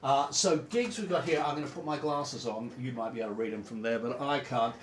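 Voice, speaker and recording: A man hosting an informal livestream, talking in a relaxed, rambling way with lots of fillers. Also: mid-range voice, a little fast, echoey room, slight background noise. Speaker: band member hosting a livestream.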